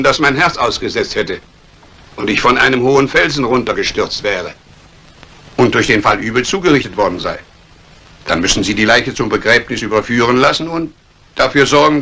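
An actor playing a scheming embezzler in a 1950s radio crime drama, calculating and menacingly polite. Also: male voice, mid-range voice, pushed and bright. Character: cold, scheming embezzling banker plotting murder.

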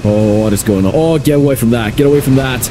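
A man with a raspy voice doing excitable gameplay commentary with a friend, repeating short urgent phrases. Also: mid-range voice, quick pace, background noise. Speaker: gaming streamer. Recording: loud game music behind voice. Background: video game music and effects.

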